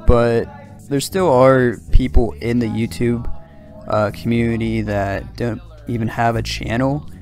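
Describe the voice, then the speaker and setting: A man narrating a scripted video essay in an even, measured commentary voice. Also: mid-range voice. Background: background music.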